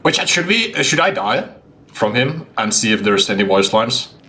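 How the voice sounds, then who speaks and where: A young man streaming a game from home, reacting casually in an amused, excitable way. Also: medium pitch, normal speed, slight background noise. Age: young adult. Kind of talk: casual live reaction commentary. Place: small room at home.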